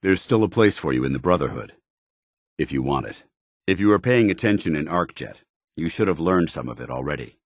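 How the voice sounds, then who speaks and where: An American man voicing a stern, earnest, duty-bound military commander in a video game, speaking in formal, measured phrases. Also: a bass voice, animated, no echo, studio quality, heavily acted. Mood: commanding. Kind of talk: video game companion dialogue.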